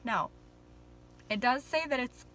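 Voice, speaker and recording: A woman's voice: on the high side, measured pace, a bit bright. A young woman vlogging in a casual, rambling way, full of ums and apologies, a little nervous on camera.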